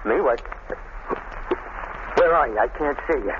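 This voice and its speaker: A man's voice: medium pitch, animated, a bit bright. Jittery, evasive hired man. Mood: frightened, defensive. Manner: jittery, halting, defensive. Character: nervous, evasive hired man with a guilty secret. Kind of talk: 1930s radio drama acting. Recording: tinny, hissy 1930s radio recording.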